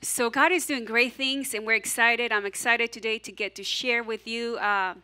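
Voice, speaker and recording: A woman pastor in her forties with a non-native English accent, preaching a sermon from a church stage in an animated, encouraging way and prompting the congregation to repeat after her. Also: fairly high pitch, normal speed, smooth. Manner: animated, encouraging, audience call-and-response. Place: church stage, large hall.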